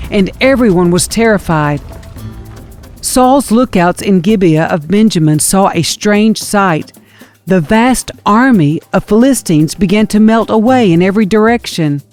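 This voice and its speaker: A woman's voice: lowish voice, darkish tone. A woman reading scripture as a scripted audiobook narrator, in a storytelling manner. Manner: storytelling, dramatizes quoted speech.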